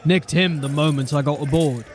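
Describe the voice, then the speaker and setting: Male actor as a blunt, jaded city-watch sergeant, plainspoken, slightly high voice, audio drama. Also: moderate pace, slight background noise, close to an everyday voice. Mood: steady, grim. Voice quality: plain-spoken, grim and steady.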